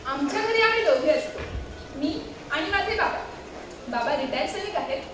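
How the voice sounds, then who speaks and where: A woman's voice: high-pitched, expressive, moderate pace. A woman acting an outspoken young woman who resists arranged marriage, declaiming emphatically in a stage play. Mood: subdued. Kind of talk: theatrical stage monologue. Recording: echoey stage hall from far, clear noise.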